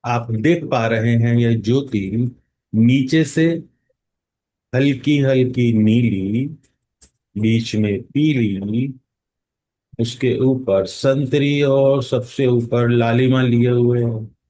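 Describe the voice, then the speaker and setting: A man leading a guided meditation in a calm, instructing manner, with long pauses and drawn-out words. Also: medium pitch, poor audio. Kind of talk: guided meditation in Hindi mixed with English phrases.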